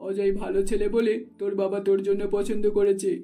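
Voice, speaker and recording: Indulgent rich cartoon mom someone's acting out, mellow voice, pretty even tone, music behind. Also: mid-range voice, quick pace, lightly acted. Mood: persuading. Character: indulgent wealthy mother of an only daughter. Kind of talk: cartoon dubbing.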